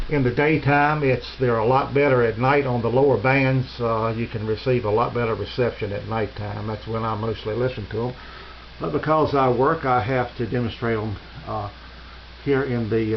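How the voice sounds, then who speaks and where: Middle-aged man, slightly low voice, hesitant hobbyist demo, throat clearing, dull noisy home recording. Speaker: amateur radio hobbyist reviewer. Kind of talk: casual unscripted product demonstration. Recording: dull lo-fi sound with hiss.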